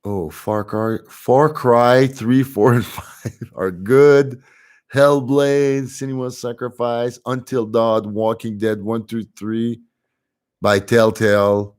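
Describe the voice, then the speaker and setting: Laid-back man with a French accent. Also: lowish voice. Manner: relaxed and chatty, often laughing softly. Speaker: livestream host reading chat. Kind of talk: casual livestream chat.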